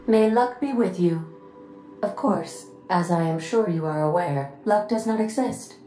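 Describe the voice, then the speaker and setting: An actress playing a polite, clinical female ship computer in a video game, speaking in formal, measured phrases. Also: on the low side, warm tone, echoey room, soft background music, natural voice. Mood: calm, formal. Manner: formal, measured, matter-of-fact.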